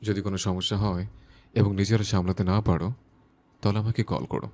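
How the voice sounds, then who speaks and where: A man playing a calm, earnest young man in love in an audio story. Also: fairly low pitch, fairly quick, close to an everyday voice. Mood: calm, caring. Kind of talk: audio story character dialogue.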